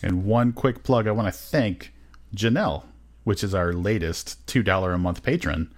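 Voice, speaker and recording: A man with a low voice talks casually as a podcast co-host. He hesitates often and fills his pauses with ums. His tone stays eager as he describes what he liked. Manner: hesitant, filler-heavy, enthusiastic.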